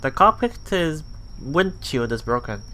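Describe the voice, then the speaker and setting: A man's voice: a little high, sing-song, measured pace, pushed and bright, low-quality recording. A man reading a visual novel aloud as a let's play host, stumbling and rereading lines as he goes. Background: faint music.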